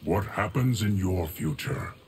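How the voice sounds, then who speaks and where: A man playing an ancient, solemn, stoic keeper of time in a video game, speaking in measured, formal, grave lines. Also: deep voice, lively intonation, a little mellow, slight background noise. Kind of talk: video game fight intro dialogue.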